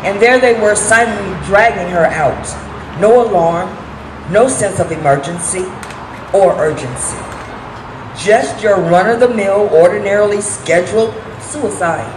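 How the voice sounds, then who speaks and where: A woman performing a dramatic monologue as a weary, grieving prisoner bearing witness in a deliberate, heartfelt way. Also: mid-range voice, lightly acted. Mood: weary sorrow. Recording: echoey room with background noise.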